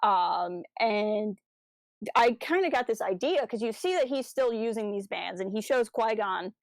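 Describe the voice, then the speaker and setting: A woman's voice: medium pitch, lively intonation, measured pace, no room sound, studio quality. A woman co-hosting a podcast in casual fan discussion, phrasing hesitantly with lots of "like". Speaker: podcast co-host.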